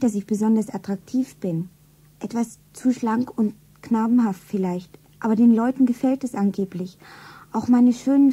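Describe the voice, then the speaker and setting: An actress playing a slim, modest young shopgirl who dreamily narrates herself in a radio play, with a soft, breathy voice. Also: a little high.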